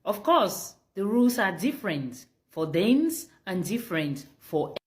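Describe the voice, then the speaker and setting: A woman's voice: mid-range voice, very animated, unhurried. A woman speaking in a wry monologue with a repeated refrain. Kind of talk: spoken monologue clip.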